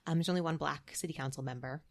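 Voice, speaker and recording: Low, gravelly-voiced woman chatting. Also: fast. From Massachusetts, USA. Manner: chatty, laughs often, quotes herself. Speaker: guest. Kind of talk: casual interview chat.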